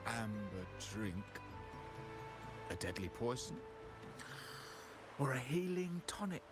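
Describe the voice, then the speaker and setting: Slow, theatrical, lovelorn drunkard. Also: male voice, mid-range voice, big pitch swings. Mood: despairing, agitated. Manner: theatrical, slow, despairing declamation. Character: anguished, lovelorn, drunken man raving poetically. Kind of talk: video game dialogue acting. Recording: music clearly behind the voice. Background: game music.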